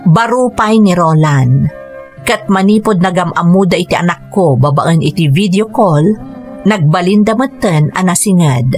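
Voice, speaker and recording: A woman's voice: medium pitch, lightly acted. A woman playing a devoted single mother. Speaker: lead actress. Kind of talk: radio drama acting.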